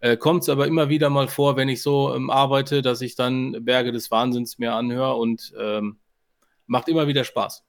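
Guy w a normal pitched voice on a podcast, casual review, kinda searching for his words. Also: fairly even intonation, moderate pace. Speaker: podcast co-host.

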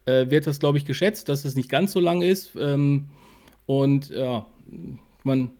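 Guy cohosting a podcast, normal pitch, chatty, kinda searching for words. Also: a little slow, mellow. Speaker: podcast co-host. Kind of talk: casual podcast chat.

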